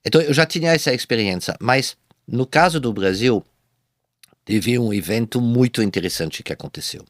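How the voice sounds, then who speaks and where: A man speaking Portuguese as a second language, a guest telling his business story in a halting way, with many repeated false starts. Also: mid-range voice, lively intonation, dry recording, clean studio sound. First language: not Portuguese. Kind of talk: casual interview storytelling.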